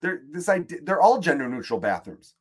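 A man's voice: a little high, sing-song, moderate pace, husky. A man with a Chicago accent, hosting a podcast and delivering a profane, exasperated, rambling comedic rant. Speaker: podcast host.

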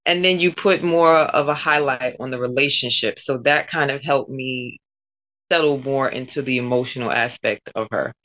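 Female interviewee, voice actor; low, forceful bright tone, conversational delivery, slightly dull lo-fi recording. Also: moderate pace. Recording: dull lo-fi audio.

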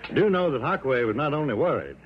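A man's voice: mid-range voice, expressive, rapid-fire, lightly acted. An actor playing a fussy, gossipy American businessman in a mid-century radio drama, insinuating and indignant, then hedging. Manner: hesitant, emphatic, insinuating. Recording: dull, faintly noisy old radio broadcast.

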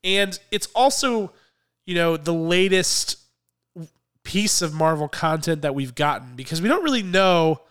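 A man co-hosting a podcast, chatting away and laughing often. His voice sits a bit high for a man and comes through smooth and clear, with a slight hoarseness from a cold. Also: expressive, measured pace, a bit bright. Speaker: podcast co-host. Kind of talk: casual podcast chat.

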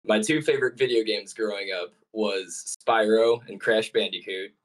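Young man, low voice, relaxed, rambling, fillers, casual guest chat. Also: moderate pace, rough recording.

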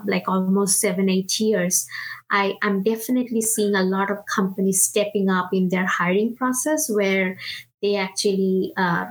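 Indian woman mentoring with earnest warmth. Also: mid-range voice, poor audio. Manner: earnest, encouraging, many fillers and restarts. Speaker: product manager and mentor. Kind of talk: casual interview talk.

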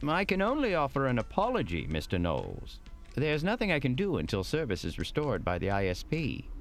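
An actor playing a polite, fussy, squeamish hotel manager in an audio drama, speaking in a formal, deferential and prissy way. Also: male voice, mid-range voice, huge pitch swings, a little fast, faint music behind. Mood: polite, soothing. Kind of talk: scripted audio drama acting.

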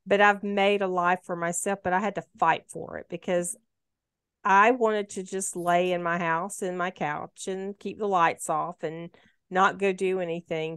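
A woman's voice: lowish voice, clean tone, no room sound, clean studio sound. A woman of about sixty, talking earnestly in a casual conversation and giving advice. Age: 60s. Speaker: guest sharing her story.

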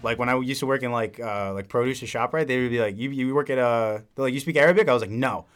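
Young dude w average pitch, teasing his buddies, slangy and swears, casual chat. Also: fast talker, a bit bright, clean tone. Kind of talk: casual banter among friends.

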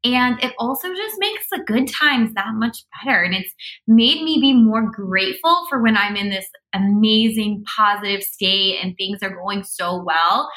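A woman's voice: medium pitch, sharp tone, low-quality recording. A woman in her thirties chatting earnestly about motivation and gratitude in an upbeat, heartfelt way. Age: 30s. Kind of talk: casual podcast chat.

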